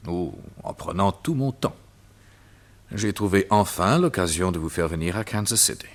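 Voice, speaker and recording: A smooth, menacing doctor bent on revenge, speaking with a very deep, low voice. He taunts his captive in a calm, cruel way, as in an old radio play. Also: moderate pace. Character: cold vengeful doctor villain, mocking. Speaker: radio drama actor. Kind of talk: 1960s French radio drama acting.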